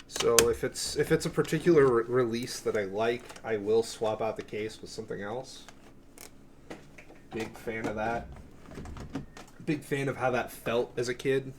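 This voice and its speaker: A man's voice: medium pitch, a little slow. Man, mid-range voice, casual collector show-and-tell, offhand, rambling, profane, self-interrupting, slightly noisy recording. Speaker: music collector presenting a cassette collection.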